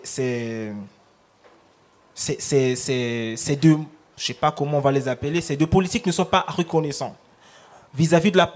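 A man's voice: fairly high pitch, a little mellow. A man with a Congolese accent, a native Lari speaker and slam poet, explaining with emphasis and frequent repetition. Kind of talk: slam recital and explanatory talk.

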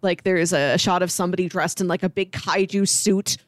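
A woman's voice: fairly low pitch, fairly even intonation, fairly quick, dry recording. A woman co-hosting a podcast, chatting casually and informally about films. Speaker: podcast co-host discussing films. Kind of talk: casual film discussion.

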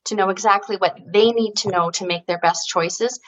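A woman's voice: medium pitch, steady tone, moderate pace, fairly bright tone, slight background noise, rough recording. A woman from Canada, a former physiotherapist who now runs an advisory service for seniors, explaining her work fluently and earnestly in an interview. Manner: articulate and earnest, fluent explanation with occasional fillers. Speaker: guest, senior care advisory service founder. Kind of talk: interview answers.

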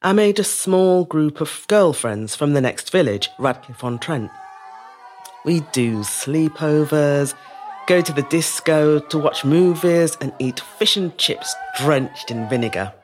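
A woman playing a resilient middle-aged woman telling her life story in a measured, reflective dramatic monologue. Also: sits low, lively intonation, a little mellow, faint music behind. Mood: fond, nostalgic. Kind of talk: scripted dramatic monologue, first-person storytelling.

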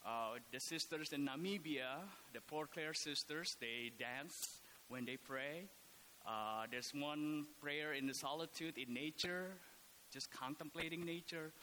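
Priest from indonesia, bright voice, lots of ums and restarts, faint hiss. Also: male voice, fairly high pitch, low-quality recording. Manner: halting, many fillers and restarts. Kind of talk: casual podcast talk.

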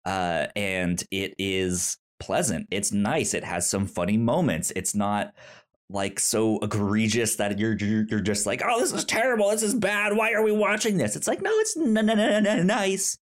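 A podcast host chatting casually about films in a halting, stammering way, often repeating words. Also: mid-range voice, expressive. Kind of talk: casual film review chat.

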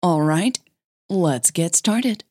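A woman's voice: on the low side, expressive, extremely slow, mellow, no room sound, clean studio sound. A woman hosting a fiction podcast, giving announcements and narrating stories.

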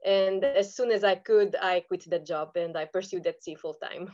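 A woman's voice: on the low side, moderate pace, dull audio. A woman from Romania with a Romanian accent, answering conversationally as an interview guest. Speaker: podcast guest, online seller.

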